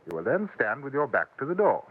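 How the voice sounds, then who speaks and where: An actor playing a courteous, coolly dangerous English gentleman adventurer in a 1950s radio western, speaking formally with a British accent on an old broadcast recording. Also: medium pitch, sing-song, a little fast, breathy edge, phone-quality sound. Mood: coolly commanding. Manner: formal, polite, precise. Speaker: lead character and narrator.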